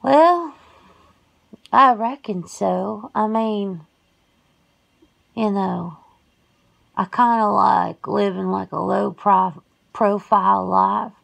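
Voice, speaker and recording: A woman's voice: medium pitch, drawn-out words, creaky, long pauses, heavily acted, rough recording. A woman playing a folksy, plain-spoken werewolf sister in an ASMR roleplay, talking casually and colloquially. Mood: wary, folksy.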